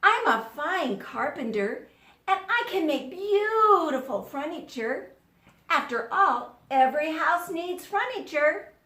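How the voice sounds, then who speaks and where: A woman acts out an eager goose from a children's storybook, pitched high and swooping as it pleads its case. The manner is persuasive and boastful, with audible room echo around it. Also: sing-song, takes it slowly, pushed and bright, exaggerated voice. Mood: eager, pleading.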